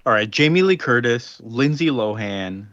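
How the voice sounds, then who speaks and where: A man co-hosting a podcast in casual chat, playful and quick with jokes. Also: mid-range voice, expressive, a bit bright, no room sound. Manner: playful, jokey interjections. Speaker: podcast co-host. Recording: dull dry lo-fi recording.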